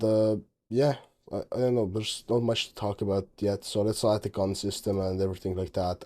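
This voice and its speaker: A teenage man from Estonia, speaking English as his second language, rambles offhandedly through commentary on his own game footage. His voice sits a little low and has a soft, mellow tone. He trails off, restarts, corrects himself, and sounds tired throughout. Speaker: game dev vlogger. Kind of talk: casual commentary over screen recording.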